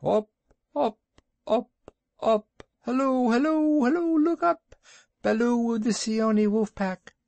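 A man's voice: very high-pitched, takes it slowly, gravelly, a little mellow, no echo, pitched above the performer's own voice, heavily acted. A man voicing Ron, a dutiful male kite bird who carries messages, speaking formally and calling out from above.

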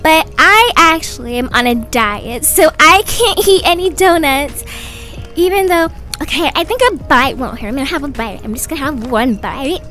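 A woman voicing a doting, chatty young mother of twins in an animated roleplay story. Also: sits high, normal speed, faint music behind. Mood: cheerful. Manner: gushing, chatty, fussing.

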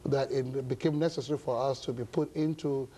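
A middle-aged man with a Ghanaian accent, speaking as an interview guest in measured, hesitant phrases. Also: mid-range voice, steady tone, mellow, breathy edge. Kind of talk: studio interview, measured explanation. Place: indoor television studio set. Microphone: lapel mic.

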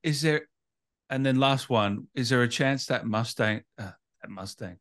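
A man hosts a casual interview in a mid-pitched voice. He talks informally, like a mate, and the recording is dry and close. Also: unhurried, fairly bright tone, dry recording. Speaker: interview host. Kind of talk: casual chat.

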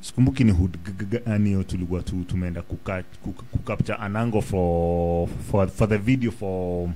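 A Kenyan man in his thirties with a fairly low voice talks at a boom mic in a small radio studio. He is animated and full of restarts, switching between English and Swahili slang. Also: brisk. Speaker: guest rapper. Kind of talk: casual radio interview. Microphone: studio mic on boom arm.

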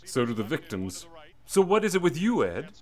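Wisecracking crook, highly animated pitch. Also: male voice, normal speed. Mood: exasperated. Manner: flippant, chiding. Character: wisecracking, exasperated male crook sidekick. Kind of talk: radio drama acting.

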